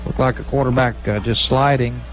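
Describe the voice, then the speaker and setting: A man's voice: medium pitch, fairly even intonation, brisk, rough recording. A man announcing live football play-by-play on the radio in clipped, running phrases. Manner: clipped, present-tense running description. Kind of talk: live radio sports commentary.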